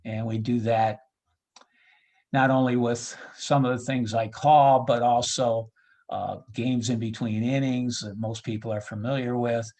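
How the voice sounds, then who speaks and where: A man with a medium-pitched voice speaks as an interview guest about his work as a ballpark announcer. His delivery is casual and halting, as he thinks out loud. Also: steady tone. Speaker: baseball PA announcer being interviewed.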